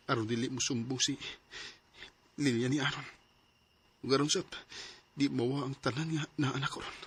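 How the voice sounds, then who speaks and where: A man's voice: mid-range voice, fairly bright tone, breathy edge. An actor playing a man torn between love and loyalty to a friend, narrating earnestly in a radio drama. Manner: earnest, troubled. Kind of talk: first-person radio drama narration and acting.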